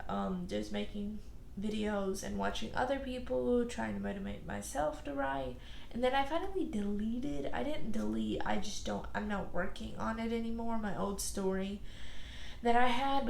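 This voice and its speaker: A woman's voice: fairly high pitch, slight background noise. A young woman who is losing her voice, chatting casually and rambling through her writing story with many fillers and restarts. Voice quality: gravelly. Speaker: author vlogger. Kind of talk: casual chat to camera. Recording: echoey room.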